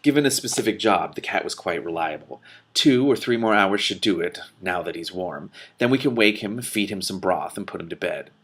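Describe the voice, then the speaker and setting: A man's voice: sits low, animated, normal speed, fairly bright tone, breathy edge. A man reading a novel aloud and breaking off for casual commentary. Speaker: reader and commentator.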